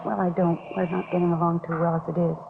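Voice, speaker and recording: An American actress playing a worried beauty shop owner in a 1950s radio drama, confessing and pleading anxiously. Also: female voice, on the low side, little pitch movement, fast talker, gravelly. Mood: uneasy, confessing. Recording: scratchy, phone-like 1950s radio recording, noisy.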